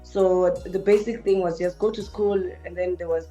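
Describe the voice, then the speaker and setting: A woman with a Venda accent and a deep voice, a musician recalling her village childhood as a guest on a radio show. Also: moderate pace, lo-fi sound, faint music behind. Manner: relaxed, reflective, mixes English and Venda. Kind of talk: casual radio interview. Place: radio studio.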